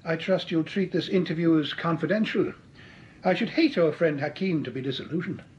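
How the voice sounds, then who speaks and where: A man's voice: a little high, a little fast, highs cut off, a little hiss or hum, lightly acted. A man playing a suave scheming crook. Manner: suave, mocking. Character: smooth scheming male crook faking his death. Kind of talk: 1940s radio acting.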